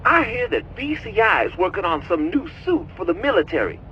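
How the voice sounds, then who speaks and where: A man's voice: high voice, brisk, lo-fi sound, slight background noise. An actor playing a cocky, slangy young American tech support guy in a video game cutscene. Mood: impressed, wary. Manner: slangy, animated, excitable.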